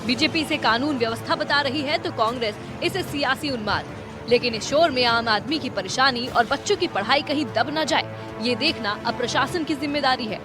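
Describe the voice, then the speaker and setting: A woman's voice: high-pitched, normal speed, over music. A woman reading scripted narration for a news report.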